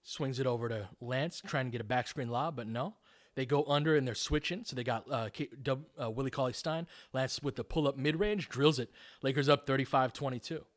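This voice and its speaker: A man's voice: mid-range voice, fairly quick. A man doing live basketball play-by-play, speaking in clipped, informal phrases and adding tactical analysis as he goes.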